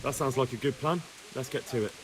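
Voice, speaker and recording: An actor playing an earnest young squire in a medieval video game, respectful and inquisitive. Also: male voice, medium pitch, a little fast, heavy background noise, lightly acted. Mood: agreeable. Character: earnest young male squire, dutiful, curious.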